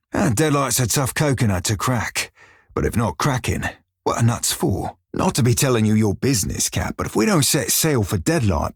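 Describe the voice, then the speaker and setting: An actor playing a wry, crafty pirate sailor in a video game, speaking in salty, colloquial seafaring dialect with a husky, rough-edged voice. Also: male voice, medium pitch, no echo, clean studio sound, heavily acted. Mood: wry, jaunty. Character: roguish male pirate sailor, wry, crafty, loyal crewmate. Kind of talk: scripted video game dialogue.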